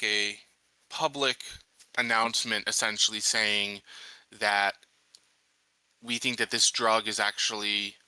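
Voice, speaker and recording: A man playing a tabletop roleplaying game, casually laying out plans with deliberate pauses between phrases. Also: on the low side, sharp tone, dry recording, low-quality recording.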